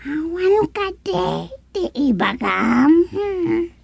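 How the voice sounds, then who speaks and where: A woman playing a vengeful, gloating termite queen in a comedic radio drama, with a shrill, theatrical, drawn-out delivery. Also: sing-song, vocal fry, no echo, heavily acted. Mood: demanding.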